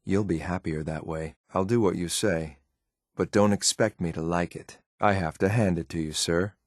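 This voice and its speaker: A man's voice: low voice, moderate pace, no room sound, clean studio sound. A man playing a stern, loyal synthetic soldier in a video game, cool and lethal, with a clipped, formal military manner. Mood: cold, disapproving. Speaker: combat companion in a video game. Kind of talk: scripted video game voice lines.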